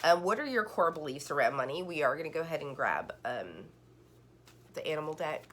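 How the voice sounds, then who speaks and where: A woman with a notably low voice talks through a tarot reading. She rambles in a loose, chatty way, piling up fillers and restarting her sentences. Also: animated, brisk, a bit bright, a little hiss or hum. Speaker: tarot card reader. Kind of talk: casual online tarot reading.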